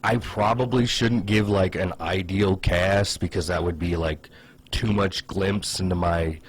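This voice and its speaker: A man's voice: low-pitched, fairly even intonation, unhurried, slightly rough. A man giving casual comedic commentary in a conversational way, with hesitant asides and plenty of "like". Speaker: online video host.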